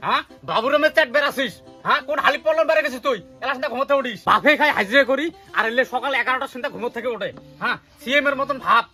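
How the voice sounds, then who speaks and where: Man playing a shrill, fast-scolding village father. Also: pushed and bright, soft background music, heavily acted. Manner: scolding, nagging. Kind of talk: comedy skit dialogue.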